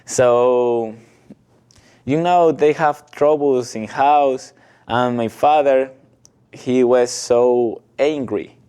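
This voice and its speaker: A man in his twenties from Ecuador, speaking English with a Spanish accent as a guest, telling his story slowly and haltingly with little laughs. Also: mid-range voice, warm tone, smooth. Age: 20s. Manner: slow, halting non-native English with frequent laughs. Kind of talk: casual interview, telling his life story.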